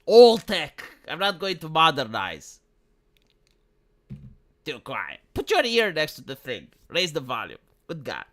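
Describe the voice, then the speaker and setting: A man's voice: a little high, wildly swooping pitch, measured pace. A man from Romania chatting casually on a livestream, rambling and cursing with frequent stumbles and restarts. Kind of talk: casual streaming chat.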